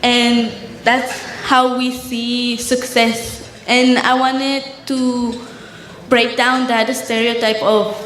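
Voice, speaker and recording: Slow, earnest young woman from Guatemala. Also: on the high side, a bit bright. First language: K'iche'. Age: young adult. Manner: earnest, careful, slightly halting. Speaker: student panelist. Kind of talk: personal testimony on a panel. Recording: echoey hall with faint room noise.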